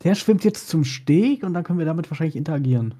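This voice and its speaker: A man's voice: fairly high pitch, expressive, fast, mellow. A man co-commentating on a gaming stream in casual chat, offering suggestions as he goes. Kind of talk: casual chat over gameplay.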